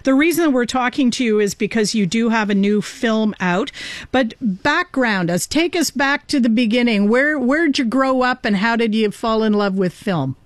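A woman radio host introducing and interviewing a guest in an even, measured way. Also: on the high side, no echo.

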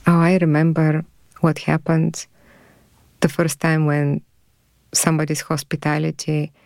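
A woman in her fifties with a Bulgarian accent, a native Bulgarian speaker answering interview questions in a thoughtful, measured way and pausing to find her words. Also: deep voice, monotone, vocal fry, a little mellow, no echo. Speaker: radio guest.

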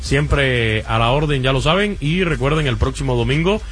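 A male baseball analyst on the radio, his voice mid-pitched and even. He delivers plugs for upcoming games in a measured, steady way over a faint music bed. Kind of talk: sports talk radio.

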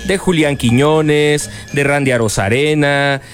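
A man with a Mexican accent hosts a casual panel chat, talking in a fairly high voice. He tells stories with many fillers and restarts. Faint music runs behind him. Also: fairly even intonation, unhurried. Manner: chatty, storytelling, many fillers. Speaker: host.

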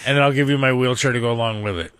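A man's voice: medium pitch, fairly even intonation, rapid-fire, fairly bright tone, clean tone, no echo. A man co-hosting a talk radio show, bantering casually in an insistent, joking, emphatic way. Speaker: radio co-host. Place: radio studio.

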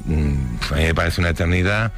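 A man's voice: deep voice, brisk, over music. A middle-aged man from Spain recalling his childhood in a loose, casual storytelling manner, full of restarts. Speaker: interviewee. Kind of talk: recounting childhood memories.

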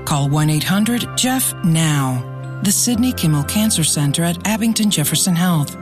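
Female ad announcer, lower pitch, polished read, music underneath. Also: animated, normal speed. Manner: polished, confident. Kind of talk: scripted commercial read. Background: music bed.

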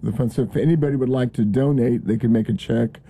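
Fast-talking husky low-voiced man. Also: warm tone. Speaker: church guest. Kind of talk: casual radio interview.

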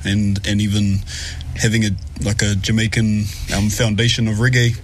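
Laid-back low-voiced New Zealand man. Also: level tone, moderate pace, a little hiss or hum. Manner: laid-back, says bro, many ums. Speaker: singer, band member. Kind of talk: relaxed interview answers.

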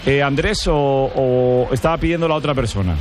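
A man hosting a joking group radio chat, firing quick teasing questions at a guest and slipping into put-on voices. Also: medium pitch, a little hiss or hum. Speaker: radio sports show host.

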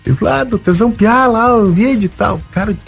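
Brief: male guest, gaúcho accent, gravelly, lively anecdotes with voiced-out dialogue. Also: high voice, fairly quick, faint music behind. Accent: Gaúcho Brazilian Portuguese. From Rio Grande do Sul, Brazil. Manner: animated, acts out quoted dialogue. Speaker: interview guest, comedian. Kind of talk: casual storytelling.

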